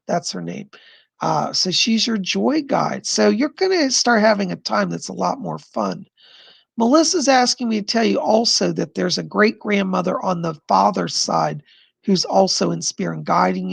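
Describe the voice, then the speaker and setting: A man hosting a live stream of psychic readings, answering viewer questions in an effusive, chatty way and pausing to listen to spirits. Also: sits high, gravelly, slightly rough, no room sound. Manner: pauses to listen to spirits mid-sentence.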